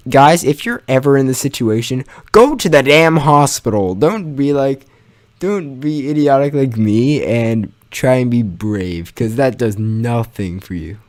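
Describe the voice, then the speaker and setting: A man's voice: medium pitch, lively intonation, a little slow. A young man telling a personal story in a casual, informal way, mildly sweary and poking fun at himself. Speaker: gaming channel storyteller.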